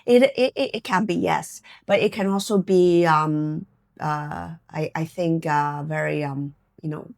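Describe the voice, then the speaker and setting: A middle-aged woman with a slightly low voice talks casually as an interview guest. She reflects as she goes, circling back over her phrases and punctuating them with small checks like "right?". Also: measured pace, gravelly, darkish tone. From Canada, born in Hong Kong. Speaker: podcast guest. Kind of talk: casual personal interview chat.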